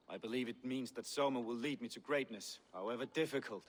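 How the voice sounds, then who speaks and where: A man's voice: mid-range voice, lightly acted. A man playing a proud, touchy Viking warrior. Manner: grandiose, boastful, quick to bristle. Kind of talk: game dialogue.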